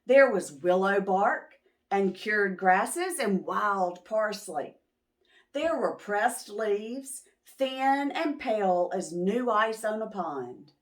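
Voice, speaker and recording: A woman's voice: medium pitch, expressive, slow pace. A woman storyteller reading a picture book and talking simply to young children, often posing questions. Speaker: children's storyteller, channel host. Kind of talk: picture-book read-aloud, talking to preschoolers.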